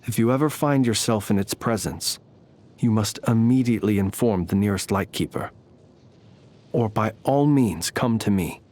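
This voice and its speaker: Courtly, enigmatic knight, played by a man, eloquent and measured. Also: fairly low pitch, close to an everyday voice. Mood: grave, cautioning. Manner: formal, eloquent, measured, old-fashioned gentlemanly speech. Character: courtly, humble, enigmatic male lamp-bearing knight of old. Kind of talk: scripted game character voice lines.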